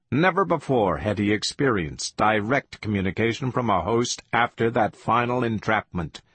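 A man reading science fiction aloud as a narrator, slow, measured and expressive. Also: lowish voice, breathy edge, no echo. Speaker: audiobook narrator.

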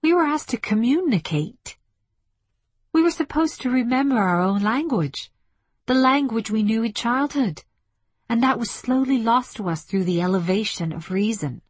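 Woman acting an intense, impassioned woman, husky. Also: a little high, animated, moderate pace, no room sound, pitched above the performer's own voice. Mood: earnest. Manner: earnest, emphatic, declaiming. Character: intense, impassioned woman delegate awakening to alien insight.

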